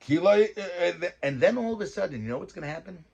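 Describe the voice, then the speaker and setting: A man, a rabbi, giving a weekly Torah talk, reading verses and explaining them in lively English. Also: high-pitched, fast talker, gravelly, muffled recording. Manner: mixes Hebrew verses with English explanation, animated.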